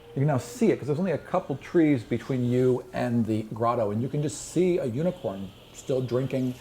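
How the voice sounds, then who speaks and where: A man's voice: medium pitch, expressive, brisk, warm tone, slight background noise, rough recording. A man improvising narration as game master in a tabletop fantasy game.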